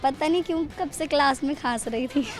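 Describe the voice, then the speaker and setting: Young woman, fairly high voice, shy, brief answers, faint music. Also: fairly quick. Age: young adult. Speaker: student. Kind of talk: casual interview answers. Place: college campus.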